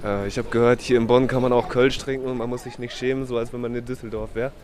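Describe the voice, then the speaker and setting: A man's voice: mid-range voice, little pitch movement, fast, darkish tone. A man with a cold hosting a vlog outdoors, chatty and upbeat in a slangy way. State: has a cold. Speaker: vlog host. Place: outdoors in a city. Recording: outdoor street noise behind.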